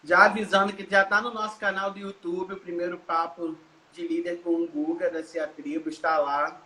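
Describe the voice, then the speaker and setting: A woman hosting a casual interview over a video call, her voice at an ordinary middle pitch. She sounds friendly and quick to exclaim. The sound is roomy, with a faint hiss under it. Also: level tone, pushed and bright. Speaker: host. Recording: hissy, echoey room.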